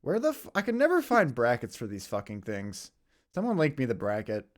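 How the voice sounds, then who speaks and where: A man's voice: mid-range voice, huge pitch swings, fairly quick. A man doing casual esports commentary, laid-back, laughing often and swearing casually.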